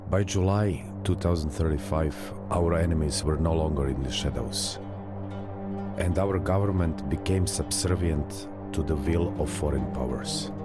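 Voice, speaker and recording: A man playing a veteran soldier who gravely recounts a lost war as narrator of a military game. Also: very deep voice, normal speed. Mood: grim, reflective. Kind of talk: scripted cinematic game narration. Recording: loud music under narration.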